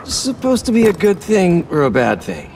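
Lively, boyish young American hero. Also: male voice, high voice, moderate pace, a little hiss or hum, heavily acted. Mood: wry, focused. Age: young adult. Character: earnest, chatty young male superhero sneaking through a cult hideout. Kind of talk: video game voice acting. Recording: game music behind the voice.

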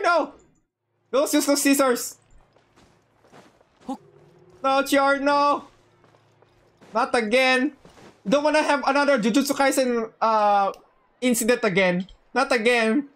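A woman's voice: high-pitched, steady tone, slow pace, pauses often. A woman giving casual live game commentary as a streamer, playful and slangy. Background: game music.

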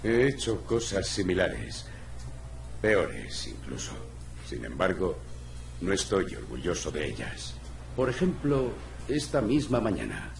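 An actor playing a blunt, world-weary cat private detective in a video game, with a gravelly voice. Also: male voice, sits low, huge pitch swings, slow pace, slight background noise, full character voice. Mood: rueful. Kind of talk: video game voice acting, noir.

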